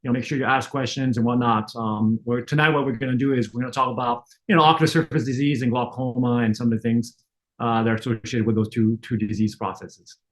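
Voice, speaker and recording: A middle-aged man from France, with no trace of a French accent, giving a relaxed online lecture, full of fillers and restarts. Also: medium pitch, fast talker. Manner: frequent fillers and self-restarts, conversational. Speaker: optometrist lecturer. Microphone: online webinar.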